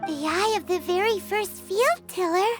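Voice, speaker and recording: A woman voicing a tiny, excitable fairy companion girl in a video game, chattering eagerly and talking about herself in the third person. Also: very high-pitched, measured pace, soft background music. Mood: chattering curious.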